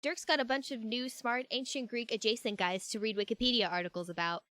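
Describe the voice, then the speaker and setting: A woman plays a young woman with a fairly high voice in a fan audio drama. She is earnest, friendly and newly assertive, talking in an emphatic everyday way. The sound is close and dry. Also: a little fast, a bit bright, dry recording, natural voice. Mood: chatty, fond.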